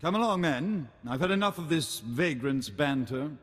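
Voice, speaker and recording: An actor playing a pompous, easily outraged aristocrat in a video game, speaking with haughty, formal condescension. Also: male voice, fairly high pitch, lively intonation, normal speed, fairly bright tone. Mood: grudging, smug. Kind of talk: scripted video game voice acting. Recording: long hall reverb.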